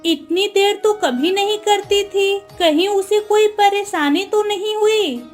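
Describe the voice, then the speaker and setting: Woman acting sad gentle cartoon queen, high voice, slow and formal, bit of music behind. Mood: anxious. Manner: sorrowful, formal. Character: grieving, gentle queen longing for her lost daughter. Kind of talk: melodramatic Hindi cartoon dialogue. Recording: music under the voice.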